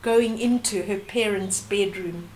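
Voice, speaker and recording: An older woman reading a bedtime story aloud to young children, gently and with asides and questions to the listener. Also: medium pitch, measured pace, low-quality recording.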